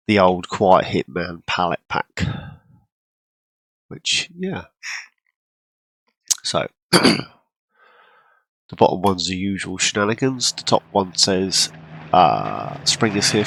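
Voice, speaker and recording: Low gravelly congested man. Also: fairly bright tone, rough recording. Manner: rambling, self-deprecating, frequent throat clearing. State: congested from a cold, coughing. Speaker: gaming channel host. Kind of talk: casual gameplay commentary.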